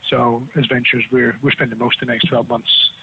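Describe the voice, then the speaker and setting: A man with a New Zealand accent, a guest on a remote radio interview, talking quickly with many restarts and fillers. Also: mid-range voice, little pitch movement, muffled recording, a little hiss or hum. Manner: fast, with frequent restarts and fillers. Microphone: remote call with slight lag.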